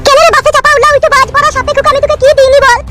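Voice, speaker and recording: A woman voicing a jealous, scolding village wife in a comedy cartoon, with a pitched-up voice. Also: level tone, racing. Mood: furious, scolding. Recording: loud music behind the voice.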